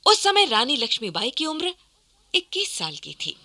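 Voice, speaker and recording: A woman narrates a historical radio programme in dramatic scripted style. Her voice is high and very bright, with sweeping, expressive intonation. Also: moderate pace, slight background noise.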